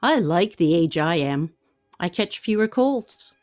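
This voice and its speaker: An actress in a radio play playing a contented middle-aged wife, demurring in an understated way. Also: female voice, fairly low pitch, animated, measured pace, a little mellow, no echo, lo-fi sound, soft background music. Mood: dry, mild.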